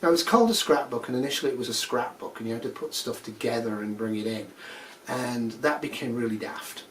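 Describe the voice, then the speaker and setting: A man's voice: mid-range voice, distant mic, rough recording. Fifties male instructor, blunt and dry, sarcastic asides, a bit off-mic with faint noise behind. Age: 50s. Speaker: nursing instructor. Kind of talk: recorded course announcement.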